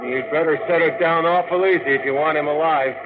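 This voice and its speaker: A man's voice: on the high side, steady tone, a little fast, lightly acted. An actor playing a wry, blunt spaceship crewman with an American accent and colloquial talk, in 1950s radio drama style. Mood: tense, businesslike. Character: wry, plain-spoken male spaceship crewman and co-pilot. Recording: tinny, noisy 1950s radio recording.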